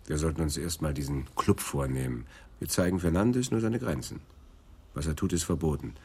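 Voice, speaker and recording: A man's voice: a bass voice, expressive, normal speed, a little mellow, breathy edge, heavily acted. A male actor in a radio crime drama playing an eager, plain-spoken police brigadier. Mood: matter-of-fact, keen.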